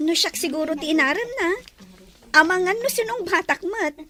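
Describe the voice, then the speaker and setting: A woman's voice: extremely high pitch, lively intonation, normal speed, bright, forceful tone, heavily acted. A woman voicing a chatty, teasing and hesitant teenage girl in an audio drama, with an Ilocano Filipino accent. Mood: teasing, coy.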